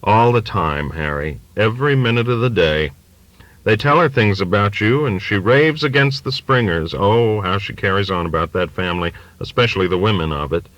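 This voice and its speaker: A man's voice: lowish voice, animated, normal speed, fairly bright tone, dry recording, highs cut off. A man voicing an emphatic, folksy, rambling old working-class father in an audiobook. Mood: confiding, agitated. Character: bigoted, confiding, long-winded old working-class American father. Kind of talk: audiobook character dialogue.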